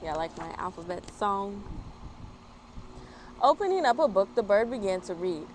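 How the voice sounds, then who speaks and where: A woman's voice: medium pitch, sing-song, moderate pace, poor audio. An American woman hosting a children's story time, reading and chatting expressively outdoors. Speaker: story time host and children's book reader. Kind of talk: children's read-aloud with friendly chat. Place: outdoors in a yard. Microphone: small external microphone. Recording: outdoor street-work and traffic noise. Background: street work, traffic, outdoor ambience.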